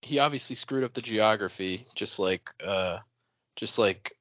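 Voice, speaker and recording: A man's voice: lowish voice, unhurried, a bit bright, no echo, dull audio. A man co-hosting a podcast in casual conversation. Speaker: podcast co-host. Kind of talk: casual chat.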